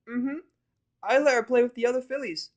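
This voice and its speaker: Male actor as bubbly female cartoon pony mother, eager, friendly, pitched way up. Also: fairly quick. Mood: cheerful.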